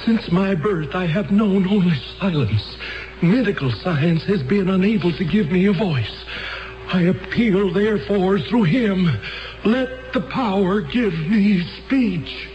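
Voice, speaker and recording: An actor playing a faith healer's earnest aide in a radio drama, speaking with deliberate reverence. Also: male voice, high-pitched. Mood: declamatory, pleading. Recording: dull old radio, music behind.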